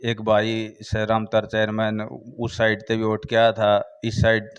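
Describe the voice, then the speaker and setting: A man with a Haryanvi accent, a village head giving an informal interview account, haltingly, with repeated filler phrases. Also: on the low side, monotone, clear, resonant tone, lo-fi sound.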